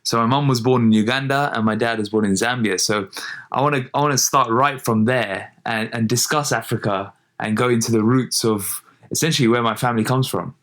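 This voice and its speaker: A man hosting a podcast episode and interviewing his parents in an upbeat, lively way. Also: medium pitch, a little fast. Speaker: podcast host.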